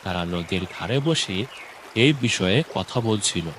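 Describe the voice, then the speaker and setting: A man reads a scripted children's story as narrator. His voice is low and his delivery unhurried. Clear watery noise runs behind him. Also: animated.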